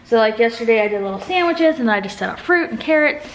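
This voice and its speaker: A woman with a slightly high voice chats casually to her vlog audience. She rambles in a conversational way, drifting into asides. Faint background noise sits behind her voice. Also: expressive, fast, poor audio. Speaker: family vlogger and mother.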